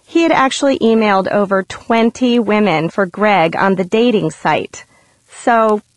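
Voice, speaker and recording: Female, scripted personal anecdote, conversational storyteller, medium pitch, very dry recording. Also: no room sound, rough recording. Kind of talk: scripted first-person story for language lesson.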